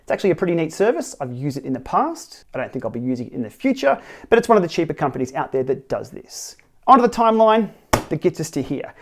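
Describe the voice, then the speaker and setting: A man's voice: fairly high pitch, fast. A middle-aged Australian man in a small home studio, earnestly and wryly explaining his side of a dispute in a partly read, scripted to-camera talk. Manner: earnest and wry, lively emphatic delivery with dry asides. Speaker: cycling tech YouTuber. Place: small home studio with city backdrop, indoors.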